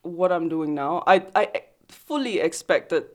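A woman in her thirties from Singapore, with an accent that mixes Singaporean and Australian, talking about herself in a relaxed interview. She speaks reflectively and often restarts her phrases. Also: on the low side, measured pace. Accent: mixed Singaporean and Australian. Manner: thoughtful, self-deprecating, restarts phrases. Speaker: photographer, interview guest. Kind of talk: casual conversational interview. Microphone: close desk mic.

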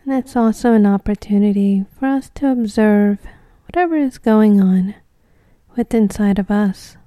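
A woman leading a guided meditation in a gentle, soothing, unhurried way, leaving long pauses between short phrases. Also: medium pitch, no echo.

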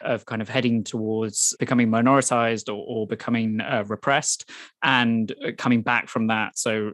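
A man's voice: fairly low pitch, fairly bright tone, dry recording. A man from Wales, an author answering interview questions thoughtfully with frequent restarts and ums. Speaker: author guest.

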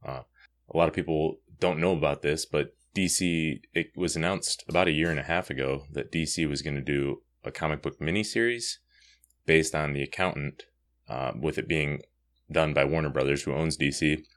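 Very deep-voiced male podcast host. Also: moderate pace, a bit bright. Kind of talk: casual comic review discussion.

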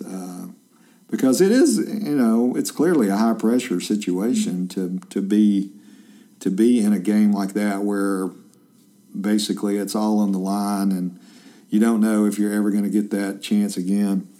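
A man's voice: lowish voice, unhurried, dark tone. A man in his fifties, a journalist hosting a casual interview, chatty and rambling with lots of fillers and restarts. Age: 50s.

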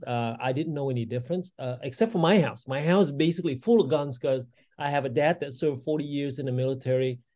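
A man's voice: mid-range voice, dark tone. Earnest Vietnam-born man in his sixties. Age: 60s. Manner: earnest, many fillers and restarts. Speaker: guest, state legislator. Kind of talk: casual interview talk.

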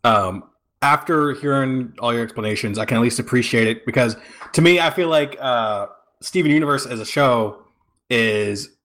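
A man's voice: medium pitch, moderate pace, fairly bright tone. A man on a casual discussion panel, explaining reflectively and rephrasing himself as he goes. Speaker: podcast panelist.

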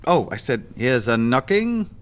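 A man's voice: mid-range voice, very animated, a little slow. An actor playing an insistent, brash older male director with a theatrical streak in an audio drama. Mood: playful, casual. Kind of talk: naturalistic audio drama acting.

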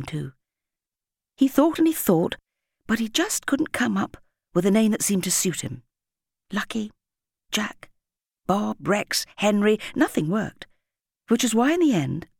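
A woman reads a children's story in a husky, slightly low voice. Her intonation is very lively, with deliberate, dramatic phrasing. The sound is dry and close, suited to an audiobook. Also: no echo. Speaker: audiobook narrator. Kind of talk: expressive scripted children's story narration.

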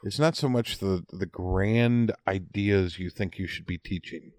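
A man in his forties from the United States with a fairly low voice, recorded dry with no room sound. He chats casually as a podcast co-host, often repeating words as he works through an anecdote. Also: a little slow, a little mellow, dry recording.

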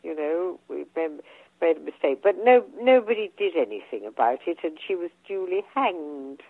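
A woman's voice: low voice, expressive, normal speed, no echo. An older English woman talking as a guest author, hesitant with many ums and restarts, and losing her voice. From England. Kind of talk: casual interview talk. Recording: tinny phone line.

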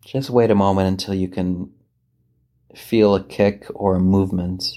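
A man with a lowish, soft-edged and relaxed voice leads a guided meditation as a priest would. He speaks gently and takes his time, leaving long pauses between phrases as he reads and reflects on scripture.

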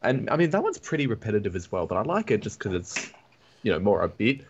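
A man's voice: fairly low pitch, big pitch swings, quick pace, highs cut off, a little hiss or hum. A man co-hosting a casual podcast chat, giving opinions with lots of "like" and "I mean". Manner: opinionated, filler-heavy. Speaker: podcast co-host.

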